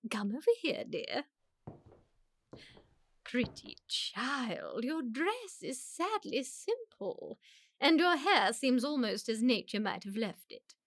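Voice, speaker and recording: Posh english society matriarch, imperious and pompous, really swoopy voice, dry close sound, radio play. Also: female voice, sits high, big pitch swings, dry recording, heavily acted. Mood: condescending. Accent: upper-class English. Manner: pompous, emphatic, grandly drawn-out pronouncements. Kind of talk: radio play comedy of manners.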